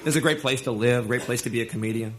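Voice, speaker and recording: A man's voice: mid-range voice, rapid-fire, a crowd in the background. A man performing live stand-up comedy in a playful, mock-earnest manner. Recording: live stage recording with music. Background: music.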